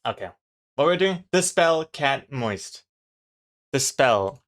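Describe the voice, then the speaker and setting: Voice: mid-range voice, big pitch swings, slow, a bit bright. Casual, rambling livestreamer with a mixed accent. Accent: mixed, not quite New Zealand. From New Zealand. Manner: rambling, thinking aloud. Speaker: comic artist livestreamer. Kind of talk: casual livestream chat with viewers.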